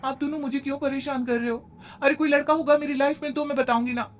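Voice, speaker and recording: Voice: high-pitched, fairly even intonation, quick pace, slight background noise, pitched above the performer's own voice, natural voice. A woman voicing a defiant, wronged younger sister in a cartoon story, protesting and pleading.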